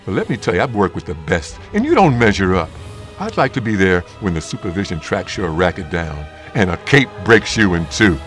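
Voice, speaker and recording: A man's voice: low-pitched, very animated, a little fast, fairly bright tone, faint music behind. An actor playing a menacing, contemptuous tough man with a taunting, emphatic delivery. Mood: scornful, threatening. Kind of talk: dramatic audio-drama acting.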